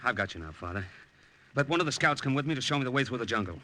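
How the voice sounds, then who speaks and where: An actor playing an earnest American army captain and secret agent, steady and plainspoken, in a 1950s radio drama. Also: male voice, mid-range voice, rapid-fire. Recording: old radio recording, faint noise.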